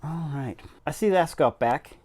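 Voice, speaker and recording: A man's voice: fairly high pitch, a little slow, darkish tone, pitched above the performer's own voice. A man doing a hearty Yorkshire station master. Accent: attempted Yorkshire. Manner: broad, hearty. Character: rough, good-natured burly male station master.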